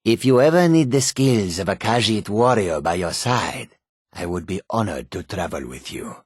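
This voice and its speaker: Deep, husky, self-assured catfolk adventurer. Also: male voice, animated, normal speed, dry recording, studio quality. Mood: calm, courteous. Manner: self-assured, formal, speaks of himself in third person. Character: proud, courteous male catfolk mage and warrior. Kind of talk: video game character dialogue.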